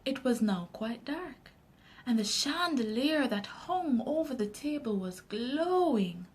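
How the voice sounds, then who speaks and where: A woman with a Jamaican accent reading a children's story aloud in an expressive, deliberate storytelling manner. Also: high voice.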